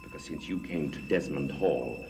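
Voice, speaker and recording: Creepy cult boss guy, middle aged, low measured menacing voice, old tv soap, echoey and hissy. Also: dark tone. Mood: calm menace. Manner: measured, formal, threatening. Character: sinister, menacing male leader of witch coven. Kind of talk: 1960s gothic soap opera acting. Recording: distant, echoing, hissy old TV soundtrack.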